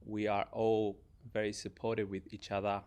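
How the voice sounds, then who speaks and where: A man's voice: on the low side, completely flat delivery, moderate pace, darkish tone, smooth. A young man from Argentina with an Argentine Spanish accent, talking reflectively as a podcast guest. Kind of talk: casual, reflective personal conversation.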